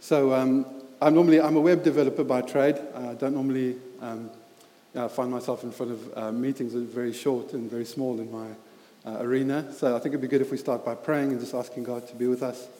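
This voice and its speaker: A man in his thirties with a South African accent, preaching a sermon with a personal story in a halting, earnest way with many fillers. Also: medium pitch, little pitch movement, warm tone. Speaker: lay preacher. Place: church hall. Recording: echoey hall with long reverb.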